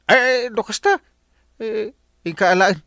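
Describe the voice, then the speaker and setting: An actor playing a jolly, scheming village captain in a radio comedy drama, full of exclamations and chuckles. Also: male voice, high voice, a little slow, creaky, no echo, studio quality, full character voice. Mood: jovial. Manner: chuckling, animated, lots of exclamations. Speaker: barangay captain.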